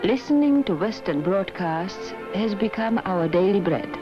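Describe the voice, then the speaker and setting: A woman's voice: medium pitch, animated, a little slow. A woman reading a letter formally and carefully as a scripted voice-over in a 1960s film. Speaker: reader of a listener's letter. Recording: dull vintage film soundtrack. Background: music.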